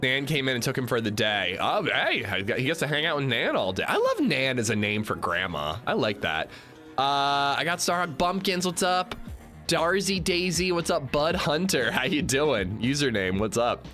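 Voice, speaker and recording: A chatty male streamer in his thirties, a little congested. His voice is bright and forceful, and soft music plays in the background. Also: sing-song, normal speed. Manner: chatty, swings from upbeat shout-outs to low mumbling. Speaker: livestreamer. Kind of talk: casual livestream chat greeting viewers.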